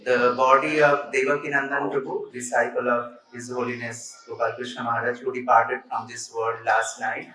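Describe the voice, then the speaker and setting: A man's voice: medium pitch, flat delivery, moderate pace, hardly any pauses, sharp tone. A man making informal announcements at a temple ceremony, with fillers between phrases. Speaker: ceremony organizer. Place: open temple courtyard. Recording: distant, echoey mic with a crowd.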